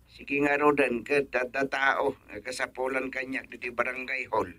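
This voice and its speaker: A man's voice: medium pitch, little pitch movement, normal speed, sharp tone, no echo, close to an everyday voice. A man playing a plain-spoken village husband in an Ilocano radio comedy drama, muttering with an Ilocano accent. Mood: matter-of-fact. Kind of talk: radio serial drama acting.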